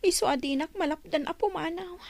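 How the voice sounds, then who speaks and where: High-pitched, plaintive young wife. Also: female voice, brisk, vocal fry, darkish tone, no echo. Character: long-suffering, distressed young wife and daughter. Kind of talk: Ilocano radio drama acting.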